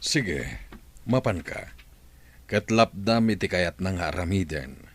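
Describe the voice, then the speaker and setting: A man's voice: low-pitched, lively intonation, slow, slight background noise. A man acting a concerned, tender middle-aged father in an Ilocano radio drama.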